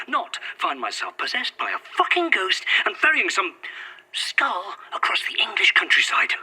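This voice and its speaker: A man's voice: high voice, pushed and bright, breathy edge, exaggerated voice, poor audio. A man acting an irritable, sweary corporate executive at breaking point in a found-footage audio drama, snappish and sarcastic. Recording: faint noise, found-footage recorder.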